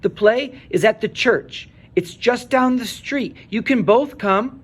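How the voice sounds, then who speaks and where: A man's voice: very high voice, takes it slowly, voice pushed up, exaggerated voice. A man voicing an earnest, eager young girl in a children's storybook read-aloud. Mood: eager, inviting. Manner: earnest, wide-eyed, eager.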